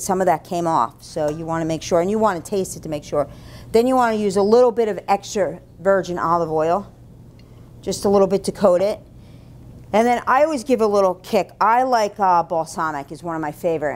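A middle-aged woman giving a casual, plain-spoken cooking demonstration as an instructor in an indoor kitchen. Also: a little mellow. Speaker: cooking demonstrator, food and beverage director. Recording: low rumble, faint room noise.

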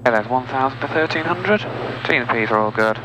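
A man's voice: mid-range voice, expressive, brisk. A young British man, a student pilot, giving cockpit callouts and casual chat through an aviation headset. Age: young adult. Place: small aircraft cockpit in flight. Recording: loud aircraft engine noise, headset audio.

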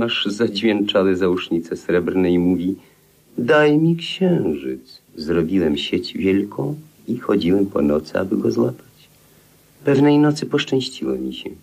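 A man's voice: on the low side, vocal fry, full character voice. An actor playing a lovelorn, melancholy drunken murderer in a 1950s radio play, telling his tale in a theatrical, lyrical manner. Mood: dramatic storytelling. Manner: theatrical, lyrical storytelling with drawn-out phrases. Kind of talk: 1950s radio play acting, poetic monologue.

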